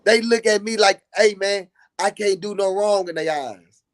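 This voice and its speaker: An earnest man from Dallas with a drawl, speaking fairly high for a man. He preaches his point in a casual live chat and hammers it home by repeating lines. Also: normal speed. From Dallas, Texas.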